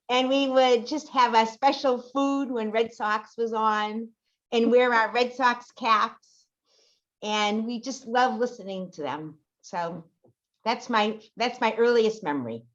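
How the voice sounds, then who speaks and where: Slow, higher-voiced woman, dull lo-fi sound. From Boston, United States. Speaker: panelist. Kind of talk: casual personal recollection.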